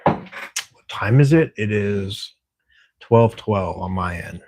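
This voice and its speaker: A man's voice: fairly low pitch, expressive, slow pace, darkish tone, slight background noise. A man casually chatting on a livestream, reading viewer comments in a friendly, rambling way with plenty of fillers. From Texas, USA. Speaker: livestreamer working a camera security shift.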